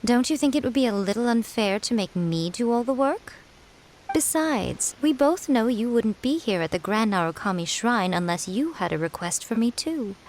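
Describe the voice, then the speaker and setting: A woman's voice: a little high, expressive, normal speed, darkish tone, slight background noise, close to an everyday voice, low-quality recording. A woman voicing a sly, teasing shrine priestess in a video game, languid and mischievous. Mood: playful. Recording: studio voice with game music.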